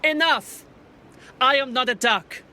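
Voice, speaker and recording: A man's voice: extremely high pitch, slow, bright, forceful tone, slight background noise, heavily acted. A young man playing an anxious, hurt misfit swan in a modern audio drama, speaking in a hesitant, conversational way. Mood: hurt, defiant. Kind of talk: audio drama acting, naturalistic modern dialogue.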